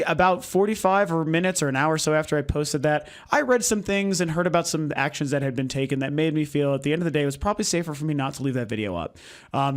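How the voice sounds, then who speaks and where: A hoarse young man from the United States who is losing his voice, hosting a casual, rambling video game vlog with lots of ums and uhs. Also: a little high. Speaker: video game vlogger, channel host. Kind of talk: casual opinionated vlog talk. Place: home recording setup.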